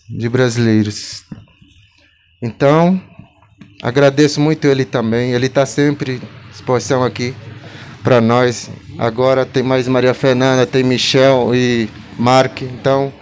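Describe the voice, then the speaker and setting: A man's voice: mid-range voice, a little mellow. A young man holding a mic at an outdoor gathering, speaking to the crowd in a plain, friendly way. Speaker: community guest speaker. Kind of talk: casual address to a crowd. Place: outdoor park among trees. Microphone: handheld mic.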